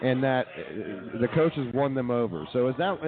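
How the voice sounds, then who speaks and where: A man's voice: medium pitch, sing-song, moderate pace, a crowd in the background. Tinny-sounding man hosting a radio show. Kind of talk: casual sports and comedy banter. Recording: tinny, narrow-band phone-like audio.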